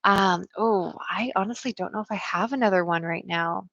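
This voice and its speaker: A woman in her thirties from Canada, hosting a casual livestream Q&A about books in a chatty, relaxed way with many fillers and soft laughs. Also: medium pitch, moderate pace, no room sound, dull audio. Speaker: booktube host.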